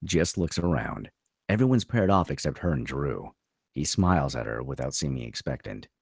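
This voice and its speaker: A very low male voice reads screenplay directions with a husky, crackling edge and animated swings in pitch. The sound is dry and close, as a narrator would give it at a table read. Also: normal speed, no echo. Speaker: narrator reading stage directions.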